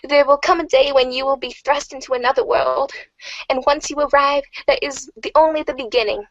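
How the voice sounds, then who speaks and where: A woman's voice: high-pitched, fairly even intonation, brisk, no echo, muffled recording. A woman voicing a doting old grandmother, formally reading out a heartfelt letter in a dramatic reading. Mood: solemn, encouraging.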